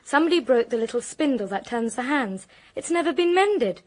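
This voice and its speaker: A woman playing an insistent, highly strung young witness in a radio detective drama, speaking emphatically. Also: expressive, fairly quick. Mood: insistent, irritated. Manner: emphatic, stubborn.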